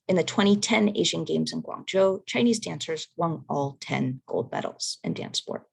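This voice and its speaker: A woman presenter reading a prepared research talk in a measured, careful way over a video call. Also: low-pitched, lively intonation, creaky, husky. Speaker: academic presenter.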